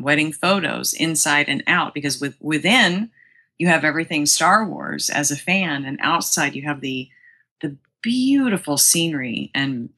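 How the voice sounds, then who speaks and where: Low, bright-voiced, enthusiastic woman. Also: breathy edge. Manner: enthusiastic, effusive. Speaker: guest, museum publicity director. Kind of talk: casual interview chat.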